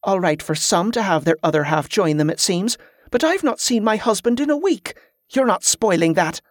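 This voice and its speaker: A man voicing a sullen, sharp-tongued married woman in an audiobook, snapping and aggrieved. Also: high-pitched, lively intonation, normal speed, no echo, studio quality, pitched above the performer's own voice. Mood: snappish, sullen. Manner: snapping, indignant.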